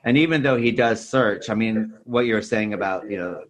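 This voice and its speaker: A man's voice: fairly low pitch, brisk. A man co-hosting a casual film discussion, explaining things in a rambling, thinking-aloud way. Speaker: podcast co-host.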